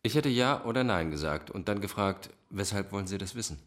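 Radio crime drama, male actor as smooth manipulative psychiatrist, low-pitched, polished diction, cold control. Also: animated, brisk, lightly acted. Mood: smoothly polite. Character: suave, manipulative, menacing male psychiatrist villain.

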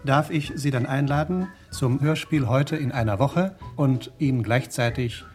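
A man's voice: medium pitch, measured pace, darkish tone, faint music behind. A man delivering a courteous, formal sign-off in old radio announcing style.

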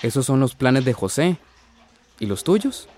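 A man's voice: mid-range voice, big pitch swings, unhurried, a little mellow. An actor playing a curious young man full of plans in a radio drama. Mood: probing. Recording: faint background noise.

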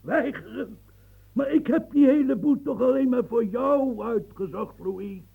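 An actor in a radio play voicing a husky, fiery old marshal in his seventies, who rants and stammers when flustered. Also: extremely high pitch, measured pace, phone-quality sound, heavily acted. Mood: indignant flustered. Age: 70s. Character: old marshal of seventy-three, fiery, long-winded reformer.

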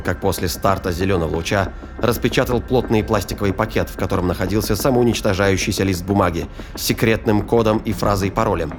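Low-voiced male narrator over music. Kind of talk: scripted science-fiction thriller narration.

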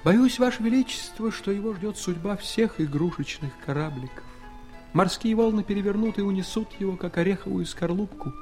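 An actor playing a tiny, polite, wistful ship's doctor in an audio play. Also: male voice, high-pitched, brisk, a little mellow, faint music behind. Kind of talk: Soviet audio play acting.

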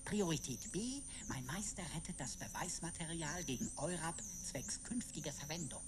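A woman's voice: sits low, lively intonation, normal speed, background noise. A woman playing a witty, pedantic computer sidekick in a radio drama, talking in pompous, stilted phrases. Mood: brisk, officious. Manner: pompous, stilted, flowery wording.

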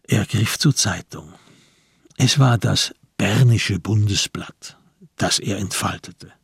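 A man narrating a literary radio drama in a measured way with dramatic emphasis. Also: on the low side, gravelly, slightly rough, no echo, studio quality.

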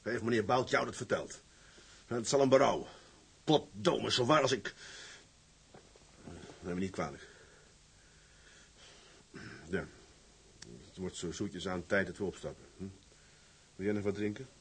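An actor playing a gruff, reserved middle-aged seafarer with a tender side in a drama, speaking bluffly and colloquially. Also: male voice, fairly low pitch, unhurried, pauses often, heavily acted. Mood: flustered, annoyed. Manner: bluff, mildly swearing, colloquial. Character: gruff, reserved seafaring man, older suitor, tender underneath. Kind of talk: dramatized dialogue acting.

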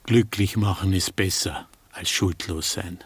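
A sardonic middle-aged doctor in a radio drama. His lowish voice has a gravelly edge and is recorded close and dry, as he speaks with articulate, disillusioned irony. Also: a little slow, dry recording, heavily acted. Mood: bitter irony. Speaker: actor. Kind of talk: German radio drama acting.

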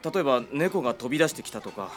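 An actor playing a friendly young man who speaks gently and casually, in a radio drama. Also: mid-range voice, lively intonation, fast talker, a faint crowd, lightly acted. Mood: gentle.

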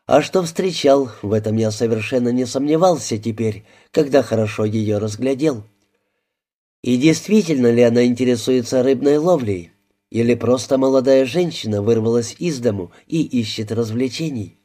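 Male audiobook narrator, mellow mid-pitched voice, measured first-person storytelling.

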